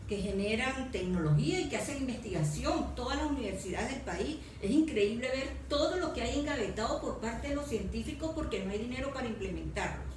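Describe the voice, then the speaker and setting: A Venezuelan woman speaking earnestly and emphatically as a panelist, explaining her views in a discussion. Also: fairly high pitch. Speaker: panelist on environmental issues. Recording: echoey room, faint background noise.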